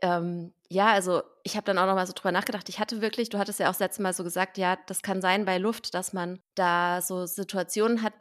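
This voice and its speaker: A woman hosting a casual chat podcast, lively, laughing often and using many fillers. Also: mid-range voice. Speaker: podcast host.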